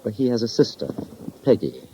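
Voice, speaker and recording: An actor playing a stuffy, evasive, conservative business partner in a 1950s radio drama, prim and deliberate. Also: male voice, lowish voice, sing-song, warm tone. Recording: dull, faintly noisy old radio recording.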